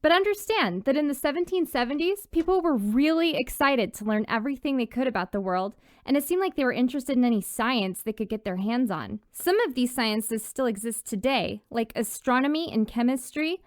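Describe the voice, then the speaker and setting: A woman narrates a scripted history tale as a storyteller. Her voice is fairly high, and she explains things in a conversational way. The sound is very dry, with no room echo. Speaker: guest author and storyteller.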